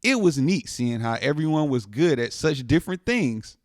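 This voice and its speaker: A man reading a children's picture book aloud in an expressive way, stretching words for dramatic emphasis. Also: mid-range voice, normal speed, no echo, studio quality. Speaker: storytime reader.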